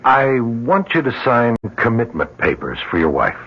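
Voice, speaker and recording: An actor playing a grave, insistent doctor in an old-time radio drama, measured but hesitant. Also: male voice, medium pitch, wildly swooping pitch. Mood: grave, persuasive. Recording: muffled old radio recording.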